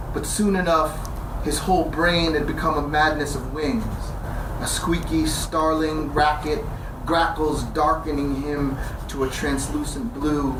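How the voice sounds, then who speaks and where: Man, poet, measured reading, hesitant storytelling, roomy distant sound, background noise. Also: on the high side. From New Jersey. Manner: measured reading with dramatic slowing on key phrases; conversational storytelling with hesitant fillers between poems. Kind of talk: poetry reading with spoken introduction.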